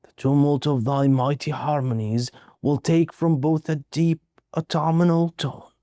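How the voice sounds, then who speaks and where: A man reciting poetry in a solemn, measured, dramatic way with deliberate pauses. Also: a little high, steady tone, warm tone, dry recording, studio quality. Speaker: poetry reader.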